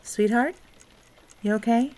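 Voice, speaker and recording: A woman playing a gentle, caring princess and wife in an audio drama, speaking softly and tenderly. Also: a little high, takes it slowly. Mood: concerned. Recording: clearly hissy recording.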